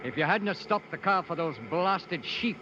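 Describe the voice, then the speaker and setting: High-pitched, snappish henchman. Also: lively intonation, normal speed. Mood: angry, blaming. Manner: snappish, bossy. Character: irritable, ruthless henchman giving orders. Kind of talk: 1930s radio drama acting. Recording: noisy muffled 1930s radio broadcast.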